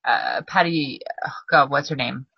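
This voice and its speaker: Female co-host, very low and bright, dry lo-fi recording, quick excitable reactions. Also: creaky, slightly rough, no echo. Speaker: podcast co-host. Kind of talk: casual chat about films. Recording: dry, dull lo-fi sound.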